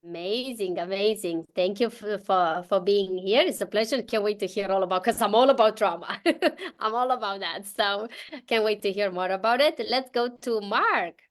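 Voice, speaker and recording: A woman from Romania with a Romanian accent, hosting a casual online group call, bubbly and quick to laugh, often restarting her sentences. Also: medium pitch. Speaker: host.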